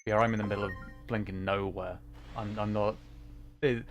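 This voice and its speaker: A man's voice: on the low side, steady tone, moderate pace, lo-fi sound, faint music behind. A man livestreaming a video game, chatting casually and thinking aloud in short reactive bursts. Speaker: livestream host, VTuber. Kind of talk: casual livestream chat while playing a racing game.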